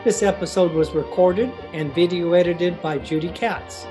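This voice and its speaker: A man's voice: high-pitched, normal speed. A male announcer reading scripted closing credits for a radio comedy. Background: guitar music.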